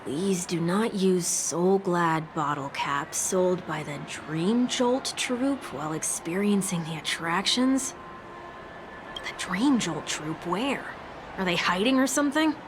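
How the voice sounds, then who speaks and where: A woman's voice: lowish voice, expressive, slow pace, noisy background. A young woman voicing a wry, sardonic adventurer in a video game, with a dry, teasing delivery. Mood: curious. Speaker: game character. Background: game background music.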